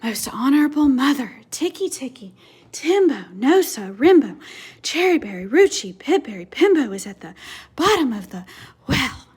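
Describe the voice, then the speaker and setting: Female reader as small scared storybook boy, gasping and calling out urgently, high voice with big swoops. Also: sing-song, moderate pace. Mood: slow, careful. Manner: shouting, gasping chant of a long name. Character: frightened, breathless little boy Chang, pleading urgently.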